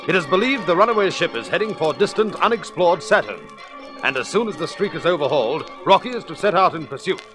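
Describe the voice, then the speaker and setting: A man's voice: a little high, fairly bright tone. A man narrating an adventure serial in a dramatic, urgent old-time radio announcer style.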